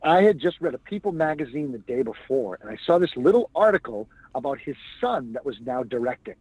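A man's voice: mid-range voice, very animated, a little fast, a little mellow, slightly rough, no echo, low-quality recording. A man, a stand-up comedian, telling stories as a guest in a casual interview. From New England. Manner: chatty anecdotal storyteller.

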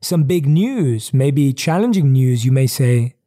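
Male host, motivational talk. Warm, mellow tone, mid pitch, reflective storytelling with slow stretched emphasis. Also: expressive.

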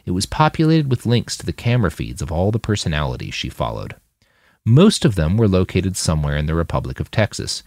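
A man's voice: low-pitched, a little mellow, no room sound, studio quality. A man narrating fiction in a dramatic audiobook style, as an author reading his own story.

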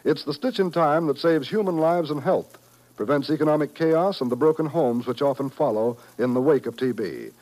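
Higher-voiced earnest male radio announcer. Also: moderate pace, a little mellow, low-quality recording. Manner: earnest, persuasive. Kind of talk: 1950s scripted public service announcement. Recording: vintage 1950s radio broadcast.

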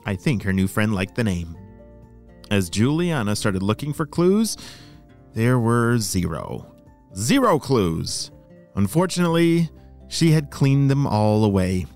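Animated man telling children's stories. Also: on the low side, measured pace, faint music behind. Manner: simple explanations addressed to young listeners. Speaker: children's story podcast host.

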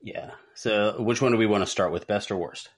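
Deep-voiced man hosting a podcast. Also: moderate pace, slightly rough. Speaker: podcast host. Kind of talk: casual talk show moderating.

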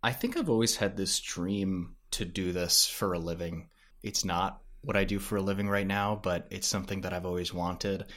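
A man's voice: low-pitched, steady tone, moderate pace, a bit bright. A man speaking as a podcast guest in casual conversation, thinking aloud a little hesitantly. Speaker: podcast creator, interview guest.

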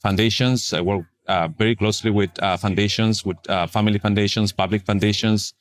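Deep-voiced Chilean-accented man. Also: steady tone, a little fast. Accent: Chilean Spanish. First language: Spanish. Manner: hesitant, many fillers. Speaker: pro-life nonprofit fundraiser. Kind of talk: conversational interview answers.

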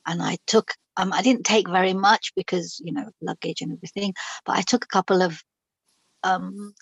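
A woman's voice: mid-range voice, slightly rough, no echo, low-quality recording. A middle-aged woman from Pakistan, a guest telling her personal story in an interview, frank and reflective, with many fillers and restarts.

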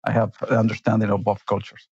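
A man's voice: lowish voice, monotone, quick pace, vocal fry, a little mellow, husky, no echo, highs cut off. A man in his fifties, from Argentina and Italy, giving reflective interview answers as a company executive, with frequent fillers and tag questions.

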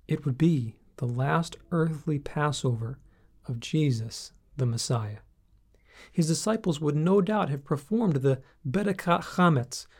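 Mellow-voiced man reading devotional teaching. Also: fairly high pitch. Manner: measured, solemn, earnest, with deliberate phrasing. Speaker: Bible teacher reading own article. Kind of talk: scripted devotional teaching, read aloud.

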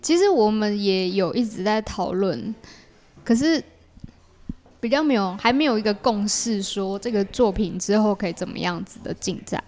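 A woman's voice: mid-range voice, animated, normal speed, darkish tone. A woman with a Taiwanese Mandarin accent talks as an interview guest, explaining casually and thoughtfully, often qualifying and correcting herself.

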